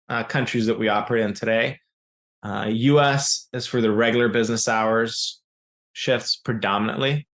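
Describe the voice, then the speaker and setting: A man in his forties whose first language is Serbian, answering interview questions in an explanatory way, often pausing on "uh" and restarting phrases. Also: mid-range voice, fairly bright tone. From raised in Yugoslavia. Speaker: business founder as guest.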